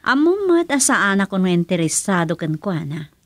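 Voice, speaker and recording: A woman's voice: fairly low pitch, very animated, moderate pace, dry recording, clean studio sound. A woman acting a resolute, bitter wronged wife in an Ilocano radio drama. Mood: suspicious.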